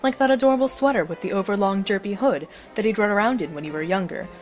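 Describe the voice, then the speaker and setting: A woman's voice: medium pitch, fast, soft background music. A woman narrating a scripted story as the narrator of an audio drama.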